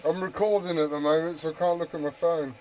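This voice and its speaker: A man's voice: fairly high pitch, fairly even intonation, fast. British man on very noisy CB radio. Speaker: CB radio operator. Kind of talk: casual radio chat, asking questions. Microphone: two-way radio microphone.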